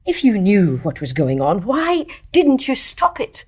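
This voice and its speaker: An actress playing a prim, judgmental, indignant nurse in a radio drama, formal and accusatory. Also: female voice, medium pitch, huge pitch swings, a little slow, slightly rough, full character voice. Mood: shocked, indignant. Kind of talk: stage-play radio drama acting.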